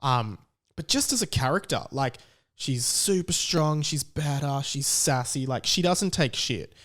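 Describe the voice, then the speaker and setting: An Australian man hosting a podcast, telling a personal story in a casual, chatty and animated way. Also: medium pitch, measured pace. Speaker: podcast host.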